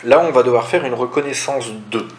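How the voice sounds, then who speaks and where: A man's voice: mid-range voice, brisk. A man with a mid-range voice walks through board game rules step by step in a roomy, echoing space. He is conversational and didactic, posing questions and answering them himself, with some noise in the recording. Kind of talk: casual step-by-step tutorial. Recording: roomy echo, audible background noise.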